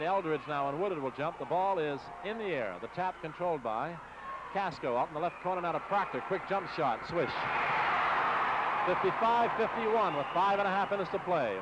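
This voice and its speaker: Muffled old-radio American man calling basketball. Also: a little high. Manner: clipped, rapid-fire short phrases. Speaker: radio play-by-play announcer. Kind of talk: live basketball play-by-play commentary. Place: basketball game in a gym. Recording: muffled noisy 1960s AM radio broadcast.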